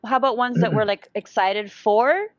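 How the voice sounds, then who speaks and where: A woman's voice: mid-range voice, normal speed, no room sound. A woman co-hosting a casual livestream chat in short, informal, exclamatory bursts, on slightly lo-fi audio. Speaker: podcast co-host.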